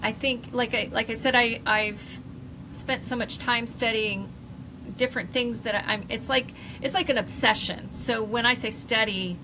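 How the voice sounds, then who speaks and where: An older woman co-hosting a podcast in casual chat, rambling and often pausing to search for words. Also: a little high. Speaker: podcast co-host. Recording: faint background noise.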